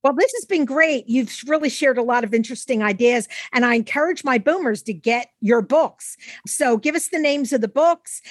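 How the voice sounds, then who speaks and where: A woman's voice: on the high side, dry recording, clean studio sound. A middle-aged or older woman hosting a conversational interview in an encouraging, affirming way. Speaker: podcast host.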